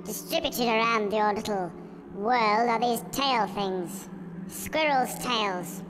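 A man's voice: high-pitched, slow, over music, rough recording. A man voicing a short, put-upon Grim Reaper in a video game, British, irritable and sardonic as he grumbles and swears. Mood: weary, exasperated. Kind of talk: video game cutscene dialogue.

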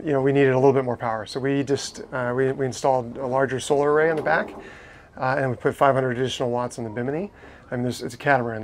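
A man in his thirties shows off his boat in an enthusiastic, explanatory way, often restarting his sentences. His voice sits at an average pitch. Faint background noise runs under his lapel mic. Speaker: boat owner giving a tour. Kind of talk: casual walkthrough tour. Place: inside a catamaran saloon, docked.

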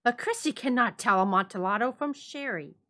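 A woman's voice: a little high, animated, fairly quick, sharp tone, pitched above the performer's own voice. A woman voicing a boastful, tipsy Italian nobleman, emphatic and exclaiming, in a dramatic story reading. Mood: impatient.

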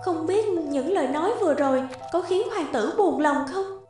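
A woman voicing a naive, gentle young princess in an animated fairy tale, speaking in an earnest, soft-hearted way. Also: very high voice, moderate pace. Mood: hesitant, worried. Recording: music under the voice.

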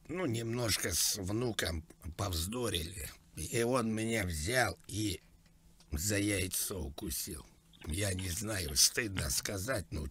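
A man's voice: on the low side, fairly bright tone, husky. A man making a prank call, posing as an older man and talking in a plaintive, rambling, mock-naive way. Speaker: prank caller.

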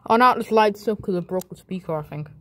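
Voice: mid-range voice, fast, pushed and bright, no echo. A person giving casual, rambling commentary with crude, sweary, jokey muttering, recorded outdoors on a handheld phone. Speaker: amateur video maker. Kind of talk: casual rambling commentary while smashing a toy. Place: outdoors on pavement beside a brick wall. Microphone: handheld phone close to the speaker.

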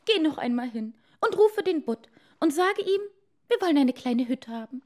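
Storybook fisherman's wife, high-pitched, insistent, forever complaining, acted by a female reader. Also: expressive, normal speed, a little mellow. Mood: nagging. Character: fisherman's discontented, demanding, nagging wife.

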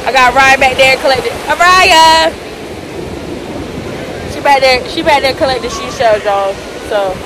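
A woman's voice: sits high, measured pace, long pauses. A young American woman vlogging casually on a phone outdoors at the beach, chatting to the camera and calling out to children. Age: young adult. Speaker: family vlogger. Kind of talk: casual chat to camera and family. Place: outdoors on a busy beach by the surf. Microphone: handheld phone, at arm's length when on screen. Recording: loud surf and beach crowd noise. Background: waves, wind and crowd.

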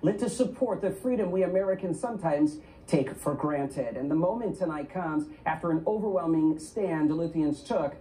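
A man reporting the local news in a measured broadcast delivery, heard played from a television. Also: fairly high pitch, animated, dark tone, distant mic, low-quality recording. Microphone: broadcast mic, replayed from a TV set. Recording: TV audio recorded off a speaker.

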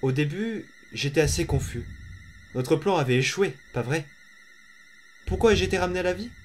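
A man playing a menacing, power-hungry undead boy in an amateur comic dub, deliberate and condescending. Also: on the high side, animated, slight background noise, natural voice. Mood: coldly triumphant. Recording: music behind.

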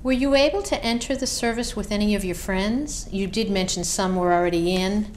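Polite woman interviewer, mid pitch. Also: slight background noise. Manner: polite, measured questioning. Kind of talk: oral history interview. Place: library room.

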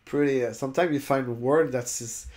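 A man's voice: medium pitch, normal speed, darkish tone. A man in his forties whose first language is French, reading a website aloud and chatting about it at his desk, often stumbling and restarting on long words. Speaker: crypto YouTuber. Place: indoors, webcam overlay on screen share.

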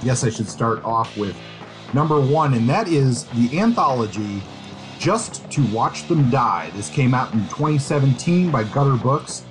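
A man with a mid-pitched voice hosts a conversational review segment on a podcast. He talks informally and with enthusiasm. Guitar music plays clearly behind him. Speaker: podcast host and book reviewer.

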